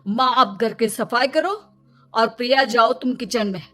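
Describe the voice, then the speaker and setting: Woman voicing a high-pitched weary young husband. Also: unhurried, pushed and bright, soft background music. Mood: exasperated. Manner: complaining, pleading. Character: weary, exasperated young husband, pleading peacemaker. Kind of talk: Hindi children's cartoon dialogue.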